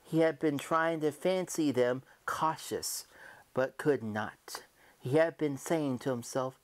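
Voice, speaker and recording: A man narrating a gothic horror story in an old-time radio manner, theatrical and deliberate, shifting between hushed and emphatic delivery. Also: fairly high pitch. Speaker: narrator reading a short story aloud.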